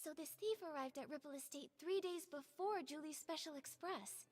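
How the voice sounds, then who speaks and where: A woman playing a gentle, curious young heroine in a video game, speaking politely and thoughtfully. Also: high-pitched, moderate pace, lightly acted.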